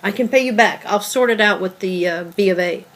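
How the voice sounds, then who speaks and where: A woman playing an evasive, defensive girlfriend in a dramatic table read, with a hesitant, halting delivery. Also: mid-range voice, a bit bright, lightly acted. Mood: awkward, evasive. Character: evasive, defensive girlfriend, secretive would-be writer. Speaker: actor in a script table read.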